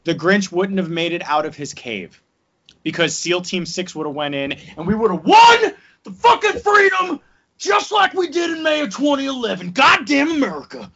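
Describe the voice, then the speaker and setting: Excitable New Jersey man, bright voice. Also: high voice, normal speed, slightly rough. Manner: excitable, bursts into shouting. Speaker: podcast co-host. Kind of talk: casual banter. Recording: dull lo-fi sound.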